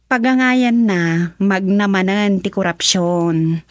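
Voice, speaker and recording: A woman's voice: medium pitch, takes it slowly, no room sound, clean studio sound, close to an everyday voice. A woman acting a skeptical townswoman in a radio comedy drama, speaking conversationally and questioningly with an Ilocano accent.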